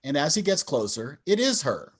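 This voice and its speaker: A man's voice: a little high, sing-song, normal speed, husky. A man narrating stage directions in a scripted table read over a video call.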